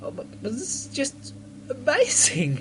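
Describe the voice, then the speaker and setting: A performer playing a flustered, sceptical local helper in a sci-fi radio drama, hesitant and expressive. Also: medium pitch, measured pace, creaky, background noise, exaggerated voice. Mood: amazed. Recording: music under the voice.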